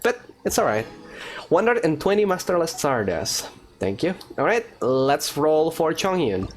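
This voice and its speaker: A man's voice: fairly high pitch, big pitch swings, measured pace, soft background music. A man hosting a let's-play, giving informal gaming commentary and thinking aloud. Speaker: gaming video host. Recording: faint background noise.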